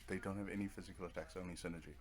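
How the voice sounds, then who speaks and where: Low-voiced man doing casual game commentary. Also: steady tone, rapid-fire. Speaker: gamer playing and explaining a video game. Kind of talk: casual let's play commentary.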